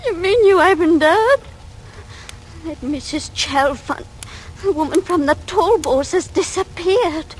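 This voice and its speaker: A very high, swooping voice: a young English working-class maid, gossipy and clinging. She pleads breathlessly in old-time radio drama style, on a noisy vintage recording. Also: animated, a little slow. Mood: anxious, gossipy. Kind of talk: 1940s radio acting.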